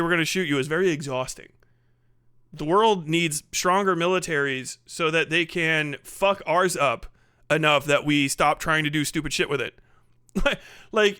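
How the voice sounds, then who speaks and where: A man's voice: on the high side, normal speed, sharp tone, smooth. A man streamer ranting casually and sarcastically, swearing and laughing often. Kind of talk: casual live stream rant.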